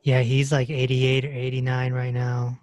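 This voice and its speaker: A woman hosting a podcast, interviewing a guest in a relaxed, affirming way and sharing her own stories. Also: a bass voice, normal speed, dry recording. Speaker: podcast host. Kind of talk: conversational interview.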